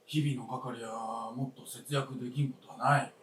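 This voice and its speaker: A man playing a stubborn, weary elderly father in a stage radio drama, speaking bluntly and gruffly. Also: mid-range voice, steady tone, moderate pace, fairly bright tone, close to an everyday voice. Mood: stubborn, resigned. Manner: gruff, blunt old-fashioned speech. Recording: roomy distant stage recording, faint noise.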